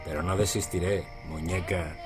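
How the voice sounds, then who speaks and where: Give a persistent, smooth-talking male flirt in a bar, played by an actor. His voice is low and suggestive. It is a radio drama scene with clear music underneath. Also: fairly even intonation, moderate pace, close to an everyday voice. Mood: seductive. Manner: suave, suggestive.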